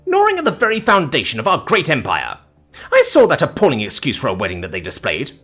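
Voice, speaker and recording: A man's voice: medium pitch, wildly swooping pitch, fast talker, bright, forceful tone, husky, exaggerated voice. A man voicing a haughty, indignant noblewoman in an audiobook, pompous and admonishing in manner. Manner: pompous, admonishing, ornate speech. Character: haughty, indignant, scolding noblewoman, a snobbish busybody.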